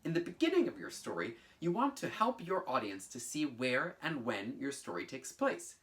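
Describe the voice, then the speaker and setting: Man, thirties, teaching artist, very lively swooping intonation, theatrical delivery, careful diction, children's lesson. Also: mid-range voice, normal speed. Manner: animated, theatrical, clearly enunciated, sing-song chants. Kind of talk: children's lesson, storytelling and instruction. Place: bedroom at home, indoors.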